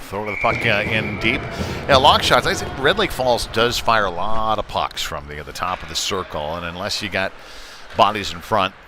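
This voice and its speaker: A man doing live hockey play-by-play in halting, off-the-cuff phrases, as the announcer on a game stream. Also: fairly low pitch, expressive. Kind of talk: live sports commentary. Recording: noisy live rink stream.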